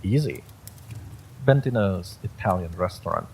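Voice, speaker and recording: A man's voice: low voice, animated, measured pace, creaky, darkish tone, slight background noise. A man reading a first-person story aloud as a dramatic narrator. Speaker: story reader.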